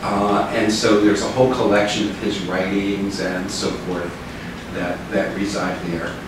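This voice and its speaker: A man's voice: deep voice, little pitch movement, a little slow, recorded from a distance. Older man in a very echoey room. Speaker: minister. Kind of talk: informal reflective talk to a small group. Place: living room with small seated group. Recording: echoey room from afar, clear noise.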